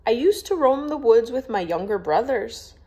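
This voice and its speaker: A woman's voice: a little high, animated, normal speed, warm tone, pitched above the performer's own voice. A woman voicing a proud, stiff young lady in a storybook, with a prim, formal, scolding manner. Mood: proud, wistful.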